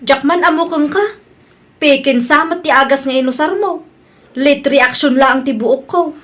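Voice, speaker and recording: An upset woman customer played by an actress complains in a high-pitched voice with an Ilocano accent. She sounds plaintive and insistent, in the style of an Ilocano audio drama. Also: normal speed, a bit bright. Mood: indignant. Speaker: drama voice actor.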